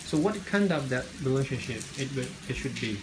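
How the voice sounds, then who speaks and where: Young man, student interviewer, mid pitch, halting questions, loud sizzling noise behind. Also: animated. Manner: hesitant, many fillers and restarts. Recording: noisy with frying sizzle behind.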